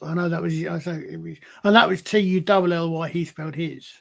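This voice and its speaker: High-voiced Scottish man, halting. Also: fairly even intonation, creaky. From Scotland. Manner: hesitant, repeats words, bursts of indignation. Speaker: panelist. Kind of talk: casual panel chat.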